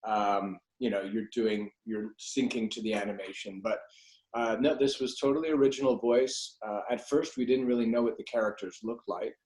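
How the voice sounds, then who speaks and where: A man's voice: on the low side, steady tone, normal speed, darkish tone. Middle-aged man in an echoey room. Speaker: guest, voice actor. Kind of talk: casual interview reminiscence.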